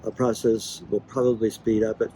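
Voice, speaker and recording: A man from Ecuador speaking casually as a missionary in an interview, haltingly searching for words. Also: on the low side, little pitch movement, mellow, slight background noise, poor audio. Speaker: missionary and Bible translator. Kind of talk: casual promo video interview.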